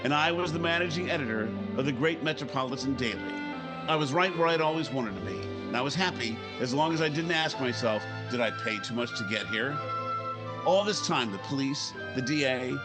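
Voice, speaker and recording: An actor playing a troubled, ambitious newspaper editor, narrating in a hardboiled, brooding old-time radio drama style. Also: male voice, mid-range voice, close to an everyday voice.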